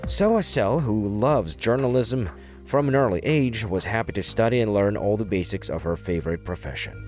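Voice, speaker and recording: A man's voice: on the low side, normal speed. A man reading scripted documentary narration and voice-over translation. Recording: music behind the voice. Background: background music.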